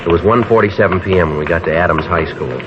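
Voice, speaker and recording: An actor playing a terse, businesslike American police detective in a 1950s radio drama, with clipped, matter-of-fact delivery. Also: male voice, low voice, quick pace, lightly acted. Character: terse, businesslike male police detective sergeant narrating his case. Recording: dull vintage radio recording, clear noise.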